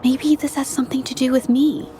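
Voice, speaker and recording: An actress voicing an earnest young female painter, gentle and reflective as she investigates. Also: high-pitched, fairly even intonation, fairly quick, slight background noise, close to an everyday voice. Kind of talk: video game voice acting.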